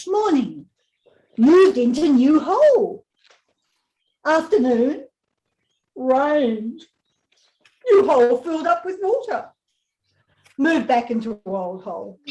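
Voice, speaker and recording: An older woman reading aloud as a lazy, demanding wombat, in terse, deliberate diary entries. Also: sits high, full character voice, poor audio. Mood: matter-of-fact. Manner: terse, deliberate, deadpan self-importance. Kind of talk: picture book read-aloud.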